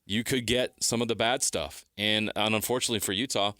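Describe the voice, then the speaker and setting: Man, middle-aged, slightly deep, sports radio opinion, emphatic repeated phrasing, dry close sound. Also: fairly even intonation, fairly quick, pushed and bright, no room sound. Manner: emphatic, building points through repeated phrasing.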